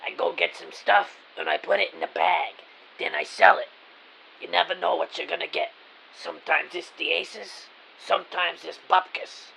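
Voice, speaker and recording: Gruff middle-aged woman shopkeeper, played by a man, piercingly sharp raspy voice, brusque slangy delivery, audio drama, slight background hiss. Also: on the high side, animated, normal speed, heavily acted, poor audio. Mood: gruff salesmanship. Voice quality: gravelly, raspy. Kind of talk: audio drama character acting.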